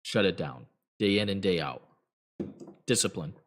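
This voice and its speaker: Guy hosting a trading show, kinda low voice, going hoarse, lots of ums and restarts. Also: expressive, normal speed. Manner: blunt, rambling, many fillers and restarts. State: voice getting hoarse. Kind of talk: casual advice talk to viewers.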